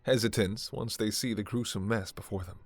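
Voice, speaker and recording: A man's voice: on the low side, fairly even intonation, normal speed, no echo. A man reading dramatic scripted second-person narration as a fantasy story narrator. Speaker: narrator and voice performer.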